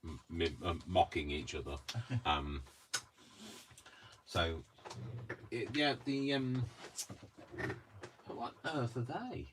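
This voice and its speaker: A man's voice: deep voice, slow pace, pauses often. A man from Coventry with a mild, slightly posh British accent, chatting hesitantly and self-deprecatingly while he crafts at home. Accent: mild posh British English. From Coventry, England. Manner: rambling, hesitant, self-deprecating, many ums. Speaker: craft vlogger. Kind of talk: casual chat while crafting. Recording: distant mic with clear background noise.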